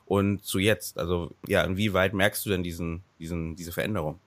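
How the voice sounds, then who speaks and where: A man with a lowish voice moderates an interview, recorded close and dry. He reads his introduction in a measured way and asks searching questions. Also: steady tone, no room sound.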